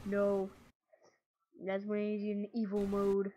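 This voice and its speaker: A woman improvising a video game roleplay with playful, dramatic exclamations and drawn-out words. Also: mid-range voice, monotone, heavy background noise.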